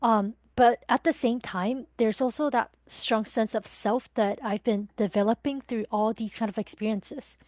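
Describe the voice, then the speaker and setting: A woman's voice: fairly high pitch, fairly even intonation, normal speed, few pauses, darkish tone, no echo. A woman in her twenties from Taiwan, a guest talking thoughtfully with some hesitation about growing up. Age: 20s. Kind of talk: reflective interview conversation.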